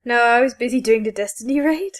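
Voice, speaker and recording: A woman co-hosting a podcast in casual chat, animated and opinionated. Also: a little high, fast. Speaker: podcast co-host.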